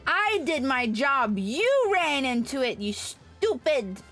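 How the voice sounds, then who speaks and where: A woman is livestreaming a game in a casual, chatty way. Her voice sits on the high side, and she rants with exasperated, sweary bluntness. Faint music plays behind her. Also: sing-song, slow pace, fairly bright tone. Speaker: livestreamer playing an online game. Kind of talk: casual gaming stream chat.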